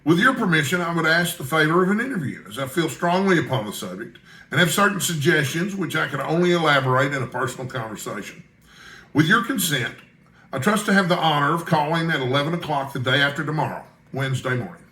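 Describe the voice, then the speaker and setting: An eager young reporter, acted out by a man reading aloud in a storybook narration. He is courteous, earnest and sure of himself. His bright voice carries clear room echo. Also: on the high side, expressive, fast talker. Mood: formal, flattering. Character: Malone, eager, polite, self-assured young male newspaper reporter. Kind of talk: audiobook character dialogue.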